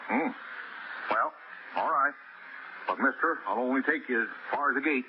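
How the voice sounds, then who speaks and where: An actor playing a young rural sleigh driver in a 1940s radio drama, laconic and ominous, with dry country humor. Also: male voice, medium pitch, moderate pace, lightly acted. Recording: muffled 1940s radio broadcast, loud hiss.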